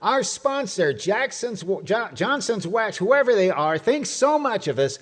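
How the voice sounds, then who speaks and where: Blustering, high-voiced old radio comedy husband, acted by a man. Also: big pitch swings, a little slow, a bit bright, heavily acted. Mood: needling. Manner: pompous alliterative patter, teasing insults. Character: blustering, wisecracking old husband full of tall tales.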